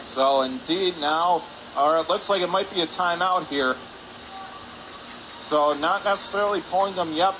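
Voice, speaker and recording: A man calling live radio hockey play-by-play in clipped phrases from an ice rink. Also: on the high side. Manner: clipped rapid phrases, rising on shots. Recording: clear background noise, arena ambience.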